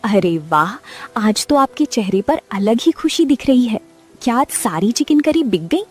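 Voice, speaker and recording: Cartoon role: woman voicing a gentle young wife, husky, slightly high. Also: big pitch swings, a little slow, soft background music, heavily acted. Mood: happy. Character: caring young wife Rupa, warm and relieved.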